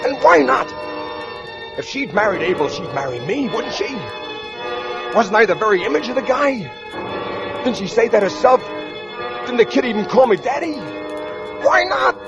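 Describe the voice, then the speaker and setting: Haunted criminal narrator, stammering and intense, 1940s radio thriller; muffled vintage recording with music behind. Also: male voice, very high-pitched, expressive, normal speed, gravelly, pauses often, heavily acted. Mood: agitated, yearning. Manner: hesitant, stammering, emotional delivery. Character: smitten male gunman, tense and haunted, narrating his crime. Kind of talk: 1940s radio acting, first-person narration and dialogue.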